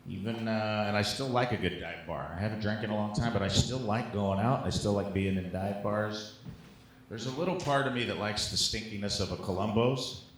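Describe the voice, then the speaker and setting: A man's voice: lowish voice, a little fast, reverberant, slight background noise. A man in casual comic chat, telling stories in a rambling, joking, profane way. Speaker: comedian guest on a podcast.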